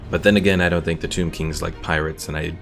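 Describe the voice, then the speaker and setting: A man's voice: low-pitched, fairly even intonation, fast talker, gravelly, fairly bright tone. A man giving casual game commentary as a streamer, thinking aloud and reading game text out. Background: game music.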